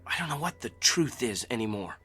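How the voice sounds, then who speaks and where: A man's voice: medium pitch, big pitch swings, a little fast, bright, forceful tone, faint music behind. An actor playing a troubled, sardonic company man in therapy in an audio drama, with a curt, defensive delivery. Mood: uneasy, resigned.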